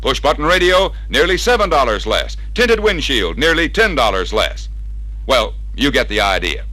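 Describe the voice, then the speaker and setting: A man's voice: medium pitch, very animated, moderate pace, background noise. An American man narrating a 1960s sales training record in an emphatic, persuasive, scripted style, on a vintage recording. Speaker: narrator of a car dealer sales training film.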